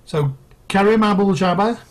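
A man's voice: high voice, moderate pace. A man co-hosting a casual chat, putting basketball questions to the others in a matter-of-fact way. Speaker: podcast co-host. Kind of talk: casual chat, sports quiz.

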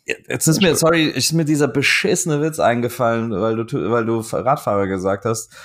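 A man's voice: medium pitch, a little fast. A man hosting a fitness industry podcast in a loose, chatty way, cracking jokes and using lots of fillers. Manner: chatty, joking, lively, frequent fillers. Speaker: podcast host. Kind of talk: casual interview hosting.

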